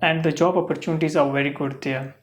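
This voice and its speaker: A young adult from Pakistan, whose first language is Urdu, answers exam questions in Pakistani-accented English. The replies come haltingly, padded with uh and um and built from simple phrasing, at a middling pitch. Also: steady tone. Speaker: test candidate.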